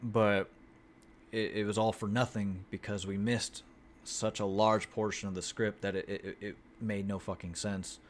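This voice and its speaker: A man telling his vlog viewers about an acting class, talking in a flat monotone, swearing freely and restarting his sentences often. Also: lowish voice, unhurried, slight background noise. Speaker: acting student vlogger. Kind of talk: casual chat. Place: Houston, Texas.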